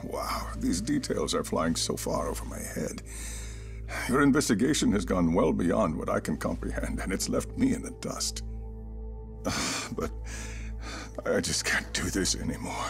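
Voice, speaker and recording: An actor playing a weary school chairman in a game drama, speaking formally and haltingly with solemn courtesy. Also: deep voice, lively intonation, vocal fry, heavily acted. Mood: overwhelmed, weary. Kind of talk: dramatic game cutscene dub acting. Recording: loud background music under the voice.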